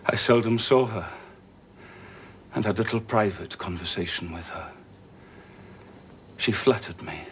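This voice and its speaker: An actor playing a brooding, passionate gentleman in a period drama, moving from low confiding tones to impassioned pleading. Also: a little slow, pauses often, slight background noise.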